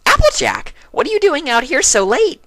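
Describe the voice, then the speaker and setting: Squeaky, hyperactive cartoon girl pony. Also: normal speed, no room sound, exaggerated voice. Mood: excited, alarmed. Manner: excitable, bubbly, exclaiming. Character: hyperactive, bouncy young female cartoon pony, excitable.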